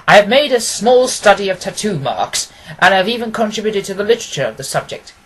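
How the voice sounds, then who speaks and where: A woman voicing a confident, quick-witted gentleman detective from a storybook, precise and amused. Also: medium pitch, sing-song, breathy edge, voice pushed up, poor audio. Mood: assured. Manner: precise, expository, assured.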